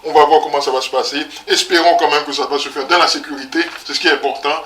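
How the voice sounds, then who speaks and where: A man's voice: a little high, steady tone, fast talker, bright, forceful tone, reverberant, distant mic, slight background noise. A man born in Congo, co-hosting a community talk show and explaining the news with many hesitations. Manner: explanatory, with frequent "hein" and hesitations. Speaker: co-host. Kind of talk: conversational current-affairs talk show.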